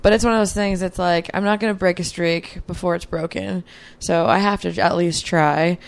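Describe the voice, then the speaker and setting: A woman's voice: lowish voice, fairly even intonation, normal speed, vocal fry. A woman in her mid-thirties, a guest answering interview questions in a reflective, earnest way with many fillers. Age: 30s. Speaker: podcast guest, athlete.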